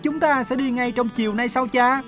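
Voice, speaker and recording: A man's voice: extremely high pitch, steady tone, normal speed, a bit bright, faint music behind. A man voicing an eager, obedient young merchant's son in a fairy-tale cartoon, speaking deferentially.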